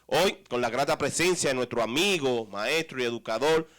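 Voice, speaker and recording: A man hosting a radio talk show, lively, chatty and teasing with his guest. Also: mid-range voice, normal speed. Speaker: host.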